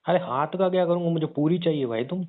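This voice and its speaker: A man's voice: on the high side, fast. A young man in a casual, bantering relationship phone call, talking in a slangy, coarse and insistent way. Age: young adult. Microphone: phone line. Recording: tinny narrowband phone call.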